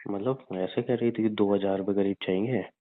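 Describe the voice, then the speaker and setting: A man's voice: on the low side, steady tone, a little fast. A young man bickering and teasing casually with his girlfriend over a phone call. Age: young adult. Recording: narrow tinny phone-line sound.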